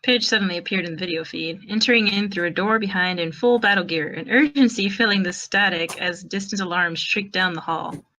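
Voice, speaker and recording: Female narrator for fan fiction, bright and expressive, on the lower side. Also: a little fast, hardly any pauses, rough recording.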